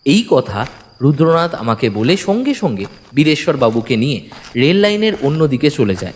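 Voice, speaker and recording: Expressive male storyteller with long reverb. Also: medium pitch. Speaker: story narrator. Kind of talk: dramatic scripted first-person narration. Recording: long reverb, music bed. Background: music.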